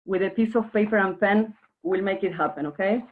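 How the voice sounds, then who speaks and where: A woman's voice: medium pitch, brisk. A woman from Argentina giving an earnest workshop presentation online, speaking directly to her audience. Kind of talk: webinar presentation. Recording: narrow phone-like online call audio.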